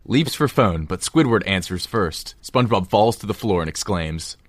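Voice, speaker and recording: A man's voice: on the low side, animated, normal speed, no room sound, clean studio sound. A man narrating a script in an informal amateur read-aloud.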